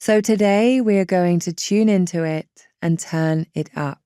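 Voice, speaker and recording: A woman guiding a meditation in a gentle, reassuring, unhurried manner. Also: fairly low pitch, clear, resonant tone, dry recording, studio quality. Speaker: meditation guide. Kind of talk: guided meditation narration.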